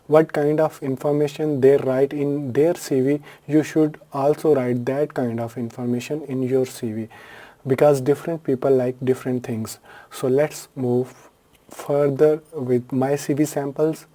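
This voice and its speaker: A man's voice: medium pitch, steady tone, a little slow, warm tone. A man from Pakistan whose first language is Urdu, talking through a screen-share tutorial in a loose, unscripted way with frequent fillers and self-corrections. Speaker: tutorial host.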